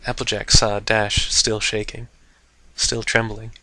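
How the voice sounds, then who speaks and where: Bright-voiced man reading a story dramatically. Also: lowish voice, level tone, measured pace, no echo, poor audio. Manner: theatrical. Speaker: host and narrator of fan fiction readings.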